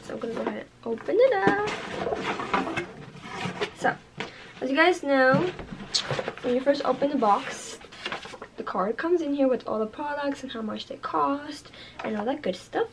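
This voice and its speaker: Chatty young woman, a bit hoarse. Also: sits high, lively intonation, moderate pace, long pauses, background noise. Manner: chatty, lots of "like" and "you guys". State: losing her voice. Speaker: beauty vlogger. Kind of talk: casual unboxing review. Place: bedroom with makeup shelves, indoors.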